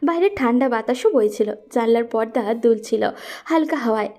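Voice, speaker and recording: Female storyteller for scripted romance narration, higher-pitched with a dark, mellow tone. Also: lively intonation, moderate pace.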